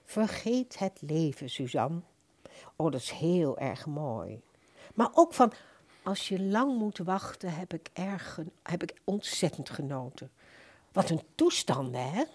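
A woman's voice: low-pitched, big pitch swings, measured pace, darkish tone, exaggerated voice. An actress playing an older, thrifty and easily puzzled woman customer in a radio play, polite, hesitant and rambling. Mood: gushing.

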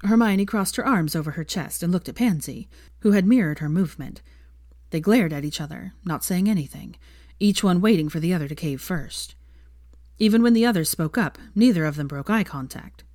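A woman narrates a story in a fairly low voice. The reading is scripted prose storytelling, recorded close and dry with very little room sound. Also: expressive, brisk, a little mellow, no echo. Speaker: fan fiction reader, narrator.

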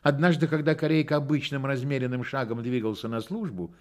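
An actor narrating a satirical radio play as a wry, theatrical storyteller, with weighty, drawn-out phrases. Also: male voice, mid-range voice, lightly acted. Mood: ominous, wry.

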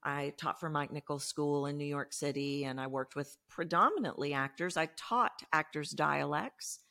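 A woman from Texas with a Texan accent, a voice coach explaining her work in a podcast interview in an emphatic, animated way. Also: low-pitched, moderate pace, vocal fry. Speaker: voice coach guest.

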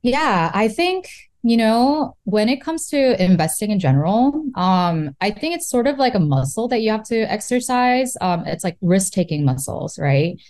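A woman's voice: mid-range voice, very animated, normal speed, a little mellow. A woman in her thirties from South Korea, chatting casually as an interview guest and often tagging "right?" onto her points. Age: 30s. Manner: chatty, many fillers like "right?" and "you know". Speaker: guest, real estate investor.

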